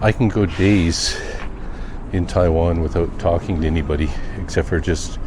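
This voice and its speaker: A man giving a musing, unhurried and wry vlog monologue while out on the sidewalk. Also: sits low, steady tone. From Canada. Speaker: daily vlogger. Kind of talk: reflective walking vlog monologue. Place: outdoors on quiet sidewalks. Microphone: handheld camera with wind muff. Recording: outdoor street noise, passing cars, wind.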